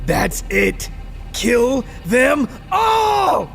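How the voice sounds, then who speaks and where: A man's voice: very high voice, huge pitch swings, drawn-out words, gravelly, raised voice, over music, pitched above the performer's own voice, exaggerated voice. Man playing a vengeful giant spider boss. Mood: furious shouting. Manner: aggrieved, threatening speechifying.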